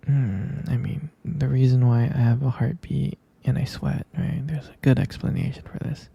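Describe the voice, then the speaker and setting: A man playing a gentle, sleepy half-human vampire boyfriend in an ASMR roleplay, murmuring hesitant, tender reassurance close to the mic in a soft, breathy whisper. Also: medium pitch, fairly even intonation, normal speed, dry recording, muffled recording, exaggerated voice. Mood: sleepy, explaining.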